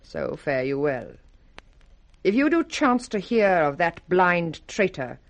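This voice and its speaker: A woman's voice: lowish voice, big pitch swings, takes it slowly, vocal fry, heavily acted. Imperious, scheming noblewoman. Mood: jealous, insinuating. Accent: British. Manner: commanding, insinuating. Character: scheming noblewoman, widowed, jealous, imperious, cold. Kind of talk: 1960s BBC radio Shakespeare acting.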